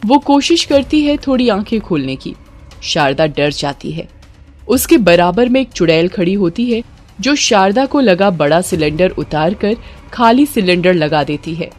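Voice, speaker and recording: A woman's voice: lowish voice, animated, measured pace, darkish tone. A woman reading a scripted horror story in a dramatic storytelling manner. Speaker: story narrator. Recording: music bed under the voice. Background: background music.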